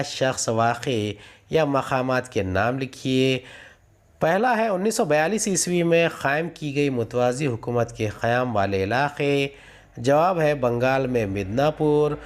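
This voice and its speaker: A man reading out a lesson methodically as a teacher, announcing each numbered point. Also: on the high side. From India. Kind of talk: scripted lesson, reading questions and answers aloud.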